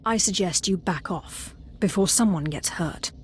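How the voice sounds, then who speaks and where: A woman's voice: fairly low pitch, a little slow, slight background noise, close to an everyday voice. Woman playing a cool, imperious Sith sorceress. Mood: cool, superior. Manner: composed, superior, dryly threatening. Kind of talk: video game character acting.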